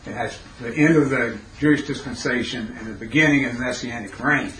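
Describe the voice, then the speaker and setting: A man with a raspy, hoarse voice teaching a Bible class, pausing to quiz his students. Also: mid-range voice, a bit bright. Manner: halting, questioning teacher who pauses to quiz the class. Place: classroom, indoors. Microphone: recorder set near the speaker. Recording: roomy classroom recording, faint noise.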